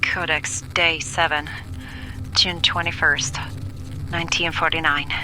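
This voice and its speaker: A woman's voice: deep voice, level tone, measured pace, over music. An actress playing a frightened 1940s woman doctor who records a grave, urgent warning in an audio drama, often dropping into whispers. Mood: urgent, fearful. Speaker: expedition demonologist. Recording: noisy old reel-to-reel tape recording.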